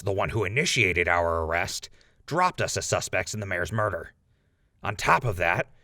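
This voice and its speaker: A man's voice: lowish voice, gravelly, sharp tone, dry recording, clean studio sound, exaggerated voice. A man playing a defiant, outspoken ghoul defendant in a post-apocalyptic audio drama, arguing his case in an impassioned, rambling way.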